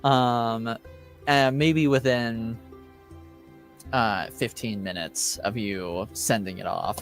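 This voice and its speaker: A man with a mid-pitched voice runs a tabletop roleplaying game over faint music. He narrates casually, improvising in a halting way as he thinks out loud. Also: fairly even intonation. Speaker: game master.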